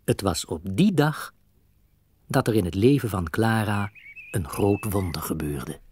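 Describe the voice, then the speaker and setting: A man with a Dutch accent narrating a scripted children's audio drama in an expressive storybook manner. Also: lowish voice, measured pace, a little hiss or hum.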